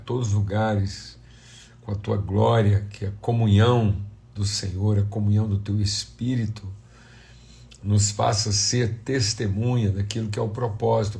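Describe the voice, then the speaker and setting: A Brazilian man leads an informal devotional as a pastor, talking to listeners like family. His voice is low and mellow, with plenty of gravelly creak. He sounds a little hoarse and stuffed up. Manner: conversational, addressing viewers as family and brothers. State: slightly hoarse and congested. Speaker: pastor hosting a devotional livestream. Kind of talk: informal devotional talk with prayer.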